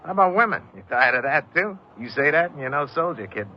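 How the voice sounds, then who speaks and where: An actor playing a slick, pushy American small-time crook, slangy and cajoling, in an old-time radio crime drama. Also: male voice, fairly high pitch, expressive, fast talker, slight background noise, lightly acted. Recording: dull vintage radio recording, music behind.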